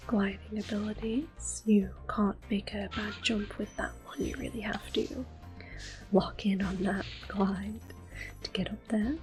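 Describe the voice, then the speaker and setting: A woman whispers close to the mic in a soft ASMR gameplay commentary, her pitch sitting mid-range. She talks gently and unhurriedly with small hesitations, while faint game music and controller clicks play behind her.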